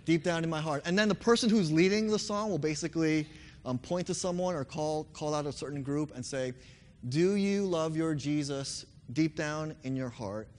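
Hoarse young pastor in a reverberant hall. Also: male voice, fairly high pitch, moderate pace. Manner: earnest, leads the congregation in song. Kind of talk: sermon.

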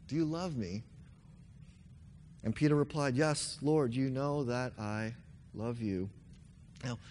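A young man preaching a sermon with a hoarse voice, earnestly leading his congregation in song. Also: mid-range voice, a little mellow, a little hiss or hum. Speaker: pastor. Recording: long reverb hall.

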